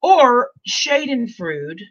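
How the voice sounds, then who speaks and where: A woman's voice: on the high side, sing-song, very slow, a bit bright, dull audio. A woman in her sixties hosting a casual talk show, rambling and repeating herself with many restarts. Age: 60s. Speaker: host. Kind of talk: casual podcast chat.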